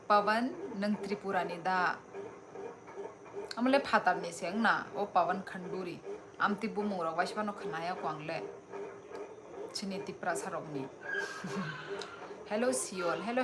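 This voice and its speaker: Slow-talking young woman streaming live. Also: mid-range voice. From Tripura, India. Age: young adult. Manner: unhurried, friendly, answers viewers by name. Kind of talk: casual live-stream chat reading viewer comments. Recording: live stream with steady background noise.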